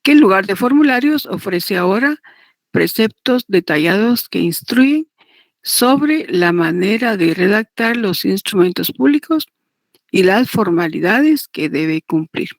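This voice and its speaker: The sort of deliberate woman you would hear teaching a law class, unhurried and repeating points, recorded close and dry. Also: medium pitch, animated, dry recording. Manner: deliberate, repetitive, checks understanding with tag questions. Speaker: law lecturer teaching notarial law. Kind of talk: didactic lecture, partly read aloud.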